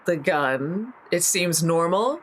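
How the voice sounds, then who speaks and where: Lively, low-pitched woman playing a tabletop game. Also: takes it slowly. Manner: thinking aloud, playful. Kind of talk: casual roleplaying game chat.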